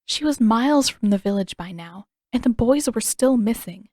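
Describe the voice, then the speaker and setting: A woman's voice: fairly high pitch, lively intonation, normal speed, a little mellow, no room sound. A woman reading scripted story narration expressively, as an audiobook narrator close to the mic.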